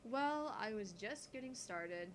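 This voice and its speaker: A woman voicing a calm, gutsy teenage genius girl who lectures on physics in a visual novel. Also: fairly high pitch, slow pace, slight background noise. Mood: confident. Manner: explanatory, lecturing.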